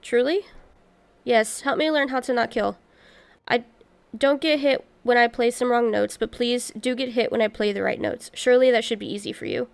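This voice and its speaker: A woman voicing a gentle, sorrowful monster musician from a video game, pleading earnestly and haltingly. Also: fairly high pitch, steady tone, gravelly, smooth, no echo.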